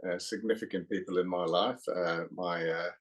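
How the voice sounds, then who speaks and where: A middle-aged man from South Africa with a South African accent, a guest author talking in a podcast interview, pausing often with fillers. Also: low voice, fairly even intonation. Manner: hesitant, many uh fillers.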